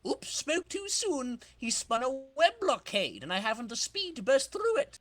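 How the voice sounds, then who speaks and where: A man's voice: very high-pitched, huge pitch swings, normal speed, fairly bright tone, slight background noise, voice pushed up, full character voice. A man voicing a cocky, scheming supervillain racer in a boastful, over-dramatic comic-book reading. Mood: scheming, exclaiming.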